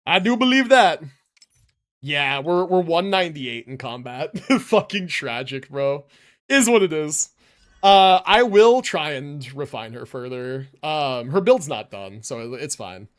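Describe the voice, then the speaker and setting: A man's voice: fairly high pitch, animated, unhurried, a bit bright. A man livestreaming a game, commenting casually and answering chat, slangy and sweary as he thinks aloud.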